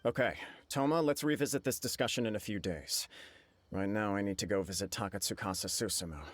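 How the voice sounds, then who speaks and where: A man's voice: fairly low pitch, fairly quick, lightly acted. An actor playing a young male noble clan heir, earnest and weighed down by duty. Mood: self-reproaching. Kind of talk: game cutscene dialogue.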